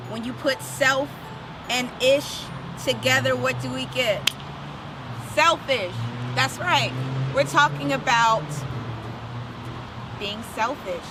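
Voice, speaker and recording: A woman's voice: high-pitched, takes it slowly, pauses often, low-quality recording. A woman hosting a children's story time, reading aloud expressively and putting questions straight to young listeners, with music behind her. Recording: music under the voice.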